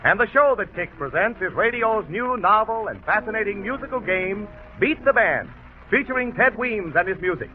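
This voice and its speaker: A man's voice: high-pitched, animated, normal speed, soft background music. A man announcing in 1940s radio style, reading a sponsor's message with emphatic, promotional delivery. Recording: old 1940 radio broadcast, tinny narrow band.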